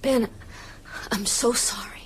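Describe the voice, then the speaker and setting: Soft, tender young woman. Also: a little high, fairly even intonation, takes it slowly, a little hiss or hum, poor audio. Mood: worried tenderness. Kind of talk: radio drama acting.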